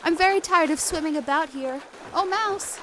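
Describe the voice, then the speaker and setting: A woman playing a polite, chatty and earnest young girl in an audio drama, eager and quick to apologise. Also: very high-pitched, sharp tone, a little hiss or hum. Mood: curious, hopeful.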